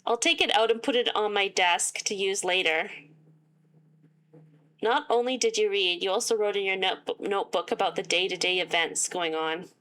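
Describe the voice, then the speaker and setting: Woman reading a game's story aloud between dry asides, voice wearing thin; mid pitch. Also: normal speed, fairly bright tone. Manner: reads game text aloud and breaks off with sarcastic asides. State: losing her voice. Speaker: gaming YouTuber. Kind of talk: reading a visual novel aloud with commentary.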